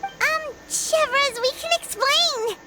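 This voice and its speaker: A woman voicing a tiny, chirpy, excitable fairy companion with a squeaky childlike voice in an anime fantasy game. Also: slow pace. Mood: sheepish. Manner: speaks of herself in third person, exclaiming. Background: music.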